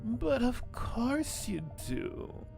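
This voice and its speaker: A man voicing a creepy, sweet old woman in a spooky game, with cooing, drawn-out words. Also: very high voice, very animated, creaky, voice pushed up, exaggerated voice. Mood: insistent, sugary. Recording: music behind the voice.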